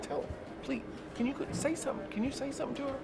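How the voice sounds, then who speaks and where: High, harried casino employee. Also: female voice, rapid-fire, vocal fry, music in the background. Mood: harried, pleading. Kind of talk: scripted comedy acting. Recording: noisy room ambience.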